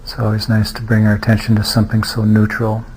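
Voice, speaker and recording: A man leading a guided meditation as a teacher, speaking in an unhurried way with long pauses and hesitant restarts. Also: lowish voice, monotone, darkish tone, a little hiss or hum, poor audio. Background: music.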